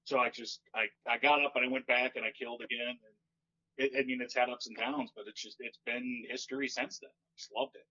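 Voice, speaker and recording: Man, stand-up comedian, dry deadpan delivery, monotone, bright voice. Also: medium pitch, brisk, muffled recording. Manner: deadpan, wry storytelling. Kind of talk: casual podcast chat.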